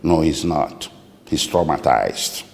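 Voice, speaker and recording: A man with a Nigerian accent answering interview questions as a lawyer, speaking deliberately and haltingly with precise detail. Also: very deep voice, animated, vocal fry, a little mellow, a little hiss or hum. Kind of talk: interview answers recounting a client's account. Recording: echoey room with long reverb.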